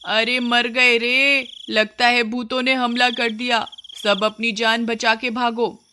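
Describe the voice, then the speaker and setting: A woman's voice: fairly high pitch, level tone, slow, sharp tone, a little hiss or hum, pitched above the performer's own voice. Woman voicing a panicky, wailing cowardly village man. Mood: panicked wailing. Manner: wailing, exclamatory.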